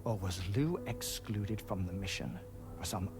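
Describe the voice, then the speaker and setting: An actor playing a courteous, analytical scientist in a video game cutscene, explaining things in formal, measured phrases. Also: male voice, on the low side. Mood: probing. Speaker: scientist briefing colleagues. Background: music.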